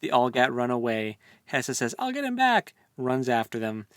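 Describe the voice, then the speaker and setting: A man's voice: medium pitch, sing-song, moderate pace, fairly bright tone, smooth, dry recording, studio quality. A man co-hosting a podcast, talking casually and informally about a book with opinionated commentary. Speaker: podcast co-host. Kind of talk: casual book discussion chat.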